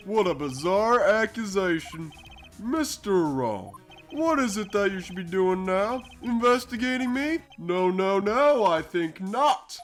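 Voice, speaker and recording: A man's voice: high-pitched, sing-song, unhurried. A smug, theatrical male villain from a courtroom game, acted by a man. He is a menacing businessman who talks down to everyone with pompous condescension. Faint music plays behind him. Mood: smug, dismissive. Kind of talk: fan dub of a courtroom video game.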